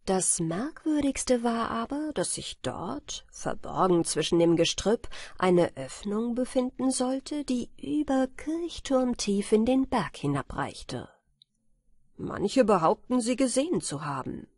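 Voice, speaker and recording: A woman reading bedtime fairy tales as an audiobook narrator, in a measured, carefully articulated storytelling manner. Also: on the high side, big pitch swings, darkish tone, husky, dry recording, clean studio sound.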